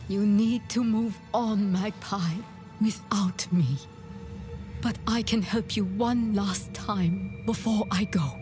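An actress playing a tender, resigned dying mother in a dark fantasy game, gentle and solemn in her sorrow. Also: medium pitch, takes it slowly, lightly acted. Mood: solemn, loving. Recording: music behind the voice.

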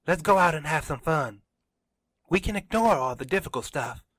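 A man's voice: on the high side, animated, moderate pace, fairly bright tone, no echo, pitched above the performer's own voice. A man voicing an earnest, eager teenage boy in a story, insistent and sure of himself.

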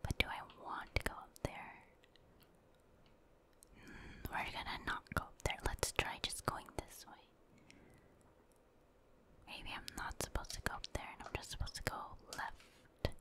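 A woman speaks entirely in a soft, breathy whisper. She narrates her gameplay in an ASMR style, thinking aloud without hurry and addressing viewers casually. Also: unhurried, long pauses. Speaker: game streamer, ASMR creator.

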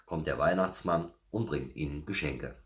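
Man playing a storybook mama bear, motherly, patient, a little smug, very low voice. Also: normal speed. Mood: patient, explaining. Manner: explaining patiently. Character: motherly, patient, self-satisfied adult female bear. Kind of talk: children's storybook reading.

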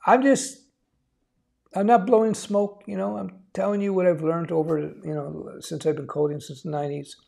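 A man's voice: high voice, fairly even intonation, moderate pace, gravelly, warm tone. A middle-aged man hosting a casual live stream, reading out comments and giving informal, reassuring advice in a rambling, offhand way. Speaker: live stream host, veteran developer giving career advice. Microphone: lapel mic.

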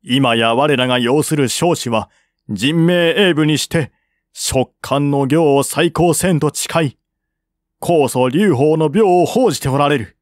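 A man's voice: on the high side, slow pace, dry recording, clean studio sound. A man reading the part of a proud, loyal general of a fallen dynasty, with stern, formal declamation. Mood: indignant, proud.

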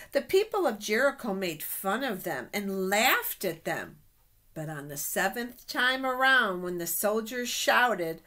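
A middle-aged woman reading Bible stories aloud to children in a deliberate, expressive way. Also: medium pitch. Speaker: children's storyteller at a church. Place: small office indoors. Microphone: webcam at desk distance.

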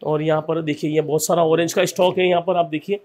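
A man with a high voice talks fast as he shows viewers around a small bottling room. He sounds upbeat and energetic, like a company presenter leading an on-site tour. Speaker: company representative host. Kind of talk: on-site customer review video.

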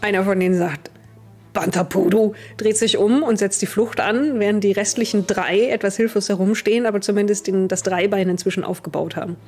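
A young woman runs a tabletop game, describing scenes as she makes them up. Her voice sits at a medium pitch, with faint music playing behind it. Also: fairly quick, hardly any pauses, darkish tone. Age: young adult. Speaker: game master. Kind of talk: improvised tabletop game narration.

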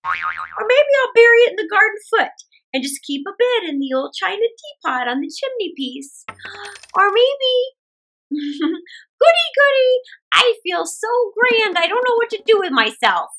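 A cheerful old country woman from a children's storybook, acted out by a woman reader, giggly and endlessly optimistic. Her voice is very high and bright and swoops wildly as she exclaims, with music behind. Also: huge pitch swings, a little slow, gravelly, full character voice. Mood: gleeful.

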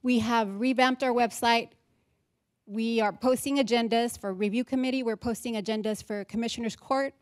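Chatty higher-voiced county official. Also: female voice, steady tone, moderate pace. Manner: chatty, self-aware, informal. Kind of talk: public meeting remarks. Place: large courtroom with audience.

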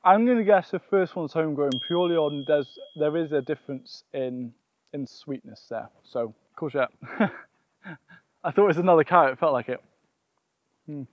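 A man's voice: a little high, moderate pace, no echo. A young man presenting a casual gardening vlog outdoors, explaining things and often thinking aloud. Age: young adult.

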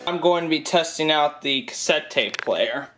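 A man's voice: on the high side, lively intonation, normal speed, background noise. A young adult man narrating a casual hands-on demo in a plainspoken, offhand way, recorded in a room at home from behind the camera. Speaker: gadget demonstrator.

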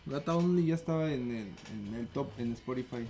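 A man's voice: mid-range voice, normal speed, mellow, faint music behind. A young man with a Peruvian accent chatting casually as a guest in a radio studio. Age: young adult. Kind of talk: casual chat. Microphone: studio mic on a desk arm.

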